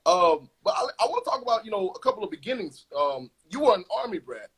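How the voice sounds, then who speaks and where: A man's voice: high-pitched, sing-song, quick pace, fairly bright tone, husky, rough recording. A young man hosting a casual interview, chatty and laid-back, full of slang. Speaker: host.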